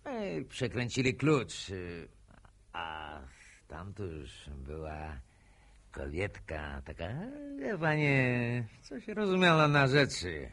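A man's voice: lowish voice, wildly swooping pitch, slow pace, a bit bright, exaggerated voice. An actor playing a smirking, needling village man in a Polish radio drama, mock-gallant and mocking in manner. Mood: gloating, amused. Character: smirking, needling village man, ex-corporal, teasing a courting couple.

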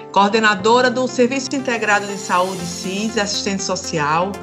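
A woman hosting a radio programme, giving thanks and credits in a semi-scripted style. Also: medium pitch. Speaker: radio host.

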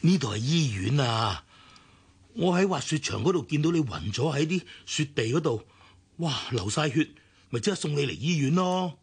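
Gravelly, husky, fussing older uncle. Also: male voice, a little high, animated, normal speed, exaggerated voice. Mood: concerned. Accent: Hong Kong Cantonese. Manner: soothing, fatherly, hesitant. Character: kindly, fussing older uncle, anxious and insistent. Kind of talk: Cantonese radio drama acting.

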